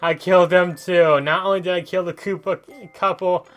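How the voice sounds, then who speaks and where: A man's voice: high-pitched, steady tone, moderate pace, fairly bright tone. A man giving casual gameplay commentary as a video host, chatting and thinking aloud as he plays. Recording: game music behind the voice. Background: video game music.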